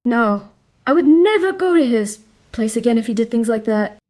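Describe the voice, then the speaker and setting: A woman's voice: on the high side, animated, normal speed, darkish tone, natural voice. A woman playing a frank, cheeky young schoolgirl in an audio drama, speaking bluntly.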